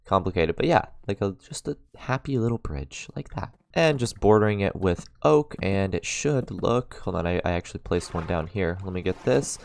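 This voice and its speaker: A man's voice: low-pitched, lively intonation, normal speed, creaky, few pauses, dry recording, studio quality. A man talking through his gameplay in a casual, rambling way, with lots of fillers and restarts, his voice congested as he records in his room at home. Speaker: let's play host.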